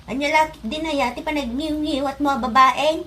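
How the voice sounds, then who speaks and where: A high female voice from an actress plays a mother in a comedy drama. She scolds and admonishes, full of reproach. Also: fairly even intonation, moderate pace, pushed and bright, slight background noise. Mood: scolding. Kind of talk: Ilocano comedy drama acting.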